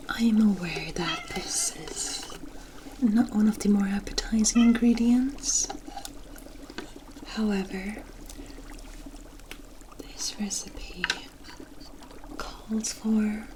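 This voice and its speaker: A woman playing a kindly forest witch in an ASMR roleplay, gentle and reassuring, with formal storybook phrasing. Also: medium pitch, sing-song, slow, long pauses, background noise. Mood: gentle, confiding. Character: kindly forest witch brewing a protective potion.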